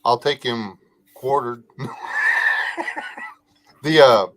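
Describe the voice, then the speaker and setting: A middle-aged man co-hosting a casual podcast livestream, a laid-back joking storyteller with a soothing voice and frequent filler pauses. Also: mid-range voice. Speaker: podcast co-host.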